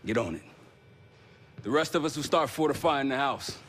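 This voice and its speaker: An actor playing a stern, steady intelligence operative and team leader in a cinematic video game. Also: male voice, mid-range voice, moderate pace. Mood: commanding. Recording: loud music behind.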